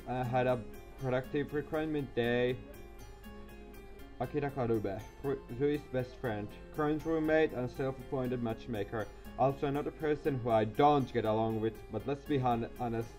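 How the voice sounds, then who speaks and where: A man's voice: mid-range voice, moderate pace, darkish tone, soft background music. A man reading a visual novel aloud on camera, casual and tripping over words. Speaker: gaming youtuber. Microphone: desk mic, webcam.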